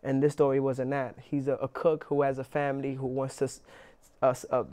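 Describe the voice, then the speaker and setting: A man's voice: medium pitch, clean tone. A young man, an actor being interviewed, talking casually with lots of restarts and fillers. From New York, Inwood. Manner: relaxed, halting with many restarts and fillers.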